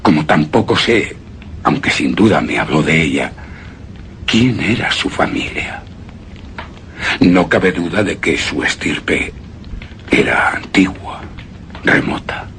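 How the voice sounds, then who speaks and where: A grief-haunted widower narrates in a suspense radio play. His gravelly voice swings dramatically between forceful tones and breathy whispers, halting often. The recording carries some background noise. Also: rumbling low, lively intonation, heavily acted. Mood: brooding. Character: brooding, grief-haunted widower recalling his lost beloved wife. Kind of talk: suspense and horror radio theatre acting, first-person narration.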